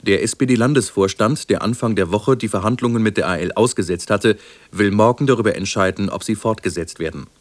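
A man with a standard German accent from Germany reads a formal radio news bulletin in a measured, matter-of-fact way. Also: on the low side, no room sound. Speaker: radio newsreader.

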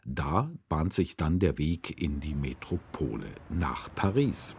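Man, very deep bass voice, radio presenter announcing, faint background ambience. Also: lively intonation, takes it slowly, slight background noise.

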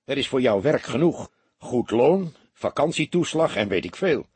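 Man playing a very husky, wry police detective. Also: medium pitch, very animated, normal speed, darkish tone, dry recording, pitched above the performer's own voice. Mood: wry, lecturing. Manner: dry, teasing, lecturing. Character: seasoned male police detective, wry, mocking, fatherly.

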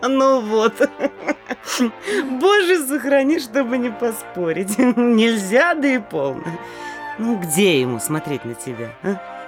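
Vain, swooping mayor's wife. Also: female voice, a little high, sing-song, takes it slowly, music in the background. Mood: dismissive. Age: middle-aged. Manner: gushing, haughty, theatrical. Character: vain, coquettish provincial mayor's wife and mother. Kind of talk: classic stage comedy audio drama.

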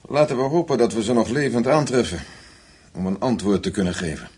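An actor playing a brisk, suspicious American intelligence agent in a Dutch radio play, blunt and probing in his talk. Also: fairly low pitch, a little mellow, breathy edge. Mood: terse, wary.

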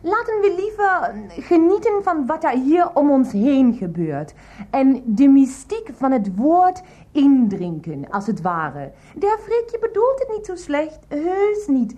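An actress playing a dreamy, placating mistress with mystical airs in a radio play, hesitant, on a slightly dull recording. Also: female voice, high-pitched, very animated, measured pace, mellow. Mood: dreamy, soothing.

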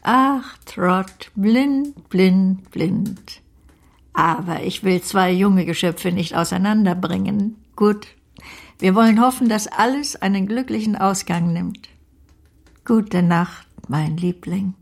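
An actress playing a blunt but loving older great-aunt in a German radio drama, dry and emphatic in a theatrical period style. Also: female voice, mid-range voice, slow pace, gravelly. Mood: resigned, tender. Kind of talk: German radio play acting of a period novel.

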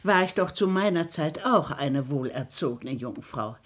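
A woman's voice: sits low, animated, unhurried. An actress playing a fussy, bossy, doting old grandmother in a theatrical radio comedy, exclaiming and scolding. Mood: scandalised. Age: older. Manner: scolding, exclamatory, chatty, old-fashioned stage German.

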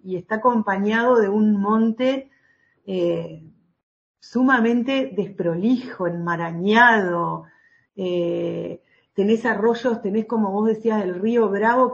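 Argentine woman with a creaky voice. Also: medium pitch, highs cut off. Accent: Argentine Spanish. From Entre Ríos, Argentina. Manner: hesitant, many fillers and restarts. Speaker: lawyer for human rights office. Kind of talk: interview testimony.

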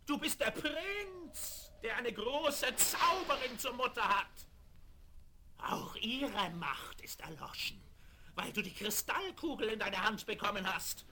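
High, theatrical evil sorcerer. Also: male voice, animated, slow pace, sharp tone, exaggerated voice. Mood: defeated, furious. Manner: theatrical, gloating and exclamatory. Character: vain, cackling evil male sorcerer losing his power. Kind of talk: 1970s German children's audio play acting. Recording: vinyl record rip with background noise.

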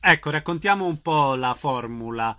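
A man's voice: on the high side, lively intonation, moderate pace, bright, forceful tone, no echo. A man working as an interviewer, putting questions and short prompts in a conversational way.

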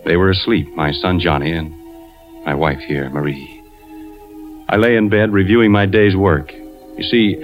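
An actor playing an anxious, suspicious husband, narrating hesitantly in a 1940s radio drama. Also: male voice, low-pitched. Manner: hesitant, brooding confession. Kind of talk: 1940s radio acting, first-person narration. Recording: dull 1940s radio recording, music behind.

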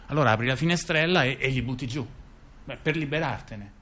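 A man acting as a chatty intellectual, telling scandals in conversational stage monologue, halting and repeating himself with frequent tag questions. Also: medium pitch, pushed and bright. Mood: wry, conspiratorial. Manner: halting, repetitive, full of rhetorical tag questions. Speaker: stage actor-narrator. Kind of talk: conversational monologue theatre, addressing a listener.

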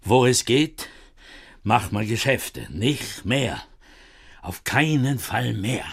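An actor playing a wary, mistrustful father and trader with a Yiddish accent in a radio drama, his voice husky. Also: medium pitch, expressive, slow, full character voice. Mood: wary, warning.

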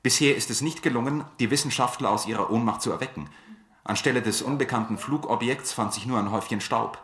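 An actor playing a sober, grave radio news commentator, reading formal scripted commentary in a measured way in a radio play. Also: male voice, medium pitch, a bit bright, lightly acted. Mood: critical, formal. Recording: echoey playback in a crowded room.

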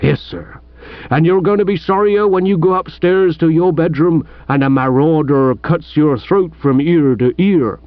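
A man voicing a ponderous, aggrieved village police sergeant in a comic audiobook, with a British accent and a dark, slow manner. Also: a little high, dry recording, dull audio, voice pushed up, natural voice. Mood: gloomy warning. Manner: slow, dark, uneducated grammar.

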